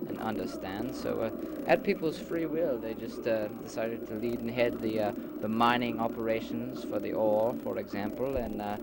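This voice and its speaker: A man playing an earnest leader in an amateur philosophical audio drama, explaining his society formally and haltingly on a homemade nineties recording. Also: lowish voice, fairly even intonation, creaky, very noisy. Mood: earnest, explanatory. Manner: halting, explanatory, formal wording with many hesitations.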